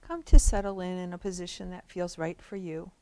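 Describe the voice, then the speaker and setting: A woman leading a guided relaxation as a meditation instructor, speaking gently and without hurry, with long pauses between phrases. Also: lowish voice.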